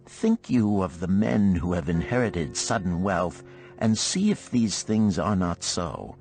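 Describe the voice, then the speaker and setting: A man's voice: deep voice, expressive, unhurried, darkish tone, faint music behind, low-quality recording. A man voicing a wealthy, wise Babylonian man in a storybook, lecturing his friends in a grave, formal way. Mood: stern, instructive. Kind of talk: storybook character reading.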